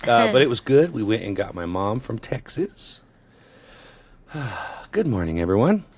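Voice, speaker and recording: A tired man co-hosting a casual podcast, his voice extra low and sleepy, chatting and joking with his partner. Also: very animated, measured pace, a little mellow, breathy edge. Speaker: podcast co-host. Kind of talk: casual chat.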